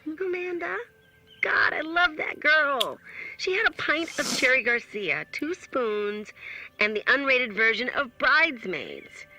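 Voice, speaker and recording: Bright, high-voiced teenage girl. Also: very animated, slow pace, full character voice, low-quality recording. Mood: fond, touched. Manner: dramatic, confiding, stretched words. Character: effusive high school girl confiding in an audio diary. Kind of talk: naturalistic audio drama acting.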